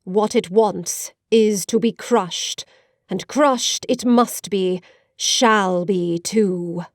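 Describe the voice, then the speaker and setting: A woman's voice: medium pitch, drawn-out words, no echo, studio quality. A woman playing a harsh, unbending middle-aged spinster in a dramatised audiobook, making emphatic, disapproving pronouncements. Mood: harsh, scornful.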